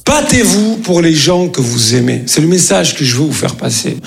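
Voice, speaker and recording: Male stand-up, heavily gravelly, higher-pitched voice, animated storyteller who plays out conversations. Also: moderate pace, faint music behind. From France. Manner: animated anecdotes with acted-out dialogue. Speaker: stand-up comedian. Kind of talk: stand-up comedy storytelling to an audience.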